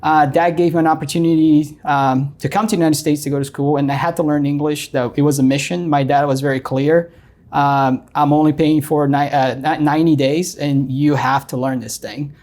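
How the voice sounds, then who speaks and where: A man's voice: on the high side, fairly even intonation, fairly quick, darkish tone. A middle-aged man from Brazil with a Brazilian Portuguese accent, a guest in an interview, telling his story earnestly with many ums. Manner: frequent ums and uhs, earnest.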